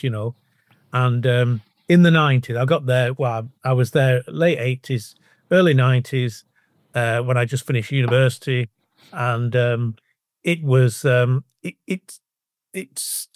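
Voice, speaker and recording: A man's voice: mid-range voice, no echo. A mature man from the North West of England speaks with a Northern English accent and a mid-range voice. He is a casual, discursive interview guest who often restarts his sentences. The recording is dry, with no room sound. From Bolton, North West England. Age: middle-aged or older. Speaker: podcast guest, author. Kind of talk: casual conversational interview answers.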